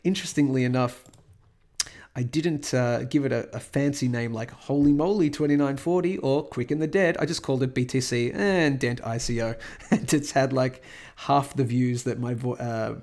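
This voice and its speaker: Host, male, hoarse and croaky from a cold, rambling with lots of fillers, gravelly edge, mid-pitched. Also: moderate pace, darkish tone. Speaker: host of a crypto price analysis channel. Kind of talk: casual screen-recorded market commentary.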